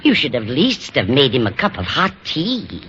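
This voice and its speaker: A woman's voice: very deep voice, wildly swooping pitch, normal speed, a bit bright, full character voice. An American actress playing a motherly, meddlesome older landlady in a vintage radio comedy, coaxing and earnest. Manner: coaxing, earnest advice-giving. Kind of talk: 1940s-50s American radio comedy acting. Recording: muffled, hissy vintage radio recording.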